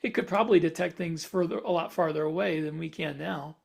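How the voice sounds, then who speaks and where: High-pitched man reasoning aloud on a podcast. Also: steady tone, fairly quick, gravelly, slightly rough. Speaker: podcast panelist. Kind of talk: casual panel discussion.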